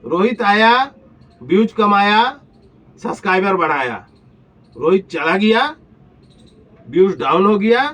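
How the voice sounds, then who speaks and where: A man's voice: sits high, lively intonation, slow pace, long pauses, a bit bright. A man with an eastern Indian Hindi accent delivering a taunting, confrontational rant full of insults and pointed rhetorical questions, recorded at a distance from the mic. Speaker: vlogger.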